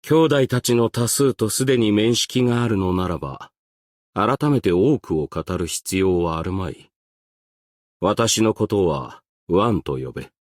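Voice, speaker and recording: An ancient strategist from a video game, composed and stern. His voice is low and he speaks slowly, in formal, old-fashioned, reserved phrases. The sound is close and dry. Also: expressive, a little mellow, no echo. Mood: calm, aloof. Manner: formal, archaic, measured, reserved diction. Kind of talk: scripted game character voice lines.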